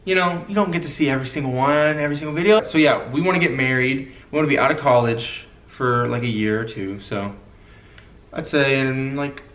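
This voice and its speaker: Easygoing young guy co-host, mid-pitched, roomy echo. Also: brisk, fairly bright tone. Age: young adult. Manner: laid-back, easygoing, fillers like "you know". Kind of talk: casual couple Q&A chat. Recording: echoey room.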